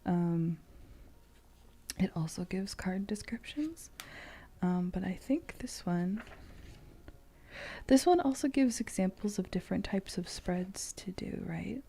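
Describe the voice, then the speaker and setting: A woman chatting casually in an ASMR style, with a soft, breathy, often whispered voice and a halting, meandering manner. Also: medium pitch, animated, a little hiss or hum. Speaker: streamer host.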